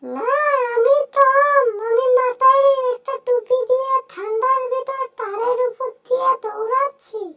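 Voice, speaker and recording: Squeaky, very high little cartoon critter. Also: female voice, steady tone, unhurried, few pauses, highs cut off. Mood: playful, chirpy. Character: tiny playful cartoon animal, cheeky singing little friend. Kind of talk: amateur cartoon dub.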